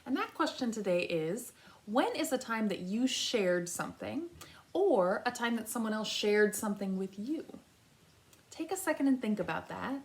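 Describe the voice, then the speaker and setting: A woman's voice: mid-range voice, big pitch swings, normal speed. A woman teaching a read-aloud lesson for young children, speaking directly to them and thinking aloud as she goes. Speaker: kindergarten teacher reading aloud.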